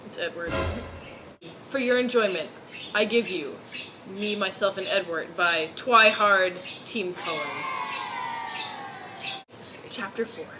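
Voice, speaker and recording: A woman's voice: medium pitch, takes it slowly, pauses often, background noise. A woman doing a mock-serious dramatic reading of fan fiction, dropping in amused asides.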